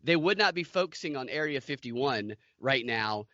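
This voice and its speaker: A man's voice: mid-range voice, animated, normal speed, pushed and bright, no echo, lo-fi sound. A man co-hosting a podcast, talking casually through the news. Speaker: podcast co-host.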